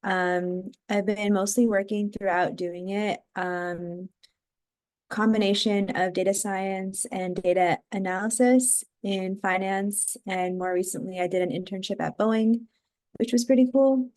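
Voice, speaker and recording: A woman introducing herself casually as a team lead on a video call, relaxed and a little unsure. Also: mid-range voice, measured pace, no room sound.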